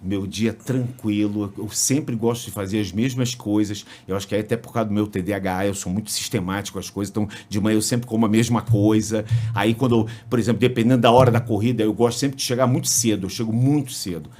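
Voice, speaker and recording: Man, Brazilian accent, sports commentator, animated storyteller, casual podcast guest. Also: on the low side, fast, hardly any pauses. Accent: Brazilian Portuguese. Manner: animated storyteller, frequent restarts, jokes.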